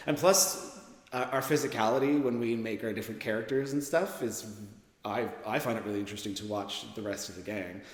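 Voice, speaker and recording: A man speaking casually in an interview as a writer and performer, enthusiastic and expressive. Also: lowish voice, brisk. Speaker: writer and radio drama performer. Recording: echoey, reverberant room.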